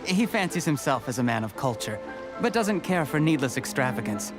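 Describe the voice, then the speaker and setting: A man's voice: medium pitch, sing-song, brisk, close to an everyday voice. An actor playing a polite, genial, cultured male customer. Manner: polite, friendly. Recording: game audio over music.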